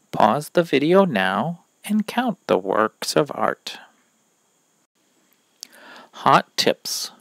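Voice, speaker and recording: A man reading rhyming verses aloud from a children's picture book in a deliberate, expressive way, pausing between phrases. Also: medium pitch, vocal fry, no room sound. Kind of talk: children's story read-aloud of rhymes.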